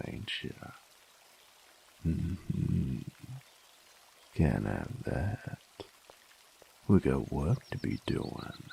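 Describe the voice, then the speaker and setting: A man playing a cocky, possessive, sadistic bandit with a gravelly, teasing voice in an intimate audio roleplay. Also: extremely low pitch, a little mellow, a little hiss or hum, full character voice. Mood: taunting, seductive. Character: cocky, possessive, sadistic male bandit, rough-spoken and seductive. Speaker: voice actor.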